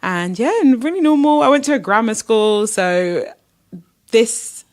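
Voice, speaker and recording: A woman's voice: a little high, measured pace, darkish tone. A young woman from South London, a podcast guest chatting easily about her life. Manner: easygoing, many fillers, says "like" often. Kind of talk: casual interview chat.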